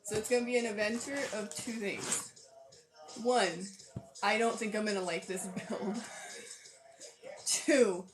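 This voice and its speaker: A hoarse, tired-sounding woman hosting a casual livestream, rambling and thinking aloud as she sets up. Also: medium pitch, fairly even intonation, slow, pauses often, over music, low-quality recording. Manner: rambling, self-deprecating, thinks aloud while sorting pieces. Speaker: livestream host. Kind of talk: casual livestream chat while setting up a build.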